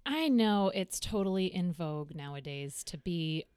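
A woman's voice: lowish voice, moderate pace, clean tone, dry recording, studio quality. Wry woman with a lisp. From Massachusetts, US. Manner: wry, deadpan joking, swears. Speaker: podcast co-host. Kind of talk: casual chat.

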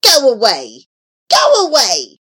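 A woman's voice: huge pitch swings, slow, heavily acted. A woman voicing spiteful flames in a storybook, shrieking scornfully. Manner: shrill, scornful shouting.

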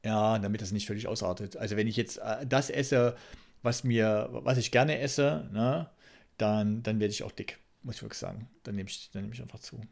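A man co-hosting a podcast in casual banter, often haltingly repeating the openings of his phrases. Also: lowish voice, creaky, darkish tone. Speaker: podcast co-host.

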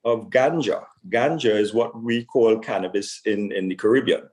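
A man from Trinidad and Tobago answering interview questions conversationally as a store owner, haltingly, repeating words as he searches for phrasing. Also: on the low side, a little mellow.

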